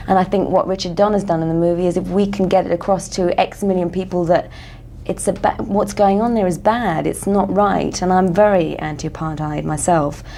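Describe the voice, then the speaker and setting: An English actress answering interview questions earnestly, with many "you know" fillers. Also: female voice, fairly low pitch, steady tone, fairly quick, vocal fry, hardly any pauses, dark tone, a little hiss or hum. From England.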